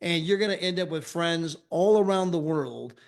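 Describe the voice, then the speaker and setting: An upbeat American man with a fairly high voice pitches travel deals to a crowd of listeners. He talks energetically and keeps tossing in "right?" and "okay?" to hold their attention. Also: normal speed, gravelly. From United States. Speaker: travel club presenter. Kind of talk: sales pitch presentation.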